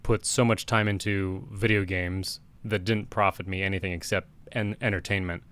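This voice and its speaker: A man co-hosting a casual podcast chat, speaking in a measured, wry way as he offers advice. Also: low-pitched, fairly even intonation, fairly bright tone. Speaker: podcast co-host.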